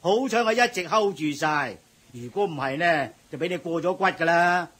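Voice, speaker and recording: An actor playing a loud, bossy middle-aged supermarket manager with a husky voice in a radio drama. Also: male voice, fairly high pitch, expressive, moderate pace. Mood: scolding. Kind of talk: 1980s radio drama acting.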